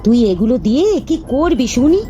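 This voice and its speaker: A woman's voice: sits high, expressive, a little fast, dull audio, over music. A woman voicing an anxious, poor village mother in a folk-tale cartoon, plaintive and complaining. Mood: fretful.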